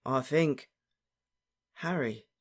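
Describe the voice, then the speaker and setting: Man as teenage boy wizard, storybook character, lovesick, breathy, hoarse, dazed, easily outraged. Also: medium pitch. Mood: dreamy, lovesick. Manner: slow, dreamy, drawn-out delivery.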